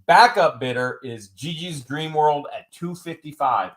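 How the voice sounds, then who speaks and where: A man's voice: on the high side, a little slow, fairly bright tone. A man hosting a live-stream auction, calling out bids and reading viewer comments with dry jokes. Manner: wisecracking, repeats bids, drawls some phrases.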